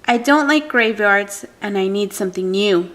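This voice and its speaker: A woman's voice: medium pitch, normal speed, fairly bright tone, a little hiss or hum, natural voice. A woman voicing a bored, weary male skeleton from a storybook, lamenting theatrically in rhyme and yearning for something new. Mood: weary, fed up.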